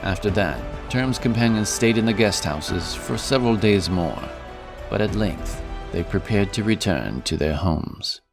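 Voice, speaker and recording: A man's voice: on the low side, animated, a little slow, creaky. A man narrating a scripted fantasy story. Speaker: audiobook narrator. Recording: music behind the voice. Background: music.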